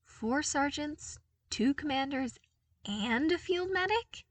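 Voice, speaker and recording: Lady doing a bewildered young guy in a story, stammery, voice jumping up high, dry sound. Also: lively intonation, no echo. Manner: halting, stammering, flustered. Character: bewildered, shy, self-doubting young man.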